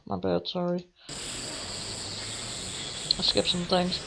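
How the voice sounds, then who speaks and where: A woman's voice: on the low side, completely flat delivery, slow pace, gravelly. Woman gamer over noisy background. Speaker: gamer streamer. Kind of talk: casual let's play, reading game text aloud.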